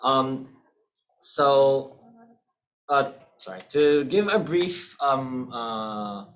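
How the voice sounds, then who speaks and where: A man's voice: mid-range voice, expressive, extremely slow, fairly bright tone, poor audio. A man in his thirties with an American accent, presenting his fund at an online seminar, speaking through a laptop mic with many fillers and repeated words. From born in the UK, raised in US and Hong Kong. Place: indoor room, webcam video call.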